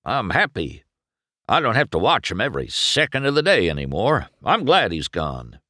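A man acts out an older sheriff car in a children's storybook. He blusters and insists gruffly, with a soft heart underneath and big swings in pitch. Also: huge pitch swings, moderate pace, dry recording, studio quality, full character voice. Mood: choked up, defensive. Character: gruff, older male police car, secretly a big softy.

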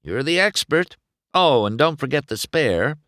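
A man's voice: medium pitch, big pitch swings, a little slow, a bit bright, no echo, studio quality, voice pushed up. A man voicing a cocky young male race car, talking in a breezy, showy way. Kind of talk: storybook read-aloud.

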